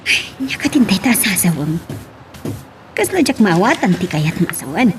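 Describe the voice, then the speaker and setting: A woman acting an animated, teasing older female relative in an Ilocano audio drama. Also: medium pitch, normal speed, over music, lightly acted. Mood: questioning. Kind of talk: radio-style drama acting. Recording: faint background noise.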